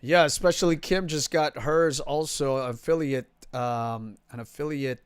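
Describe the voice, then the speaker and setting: Filipino New York-accented man, higher voice. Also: unhurried, clear, resonant tone, no room sound. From Philippines. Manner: jokey, laughs often. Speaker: livestream host. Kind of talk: casual livestream chat. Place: home room. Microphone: studio mic on boom arm.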